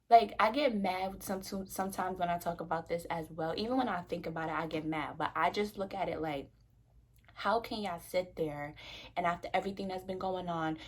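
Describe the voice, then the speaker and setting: A woman's voice: lowish voice, creaky, breathy edge. A woman, talking frankly and passionately to the camera in a loose, conversational vlog. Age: 20s. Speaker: vlogger. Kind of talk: casual talk to camera.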